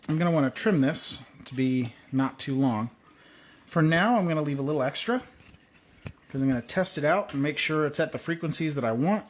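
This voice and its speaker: A man hosting a hands-on tutorial, thinking aloud in a relaxed way while working at a workbench. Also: on the high side, slight background noise. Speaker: video host.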